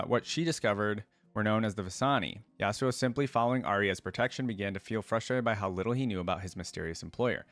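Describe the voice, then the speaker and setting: A man's voice: fairly low pitch, fairly bright tone, no echo. A man hosting a reaction video, reading written lore aloud and breaking off for casual commentary. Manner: casual asides while reading.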